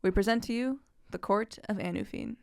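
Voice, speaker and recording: A woman's voice: medium pitch, fairly quick, gravelly, clear, resonant tone, no echo, studio quality. A woman presenting a show in scripted banter and introductions.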